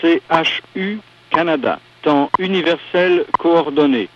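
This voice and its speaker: Dull shortwave radio, slow male time announcer. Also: a little high. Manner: measured, separated phrases. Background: time signal beeps.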